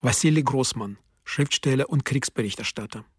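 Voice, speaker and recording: A man's voice: mid-range voice, normal speed, husky, no room sound, clean studio sound. A man announcing the speakers in a radio drama, terse and matter-of-fact. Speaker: narrator. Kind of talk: announcing sources, scripted.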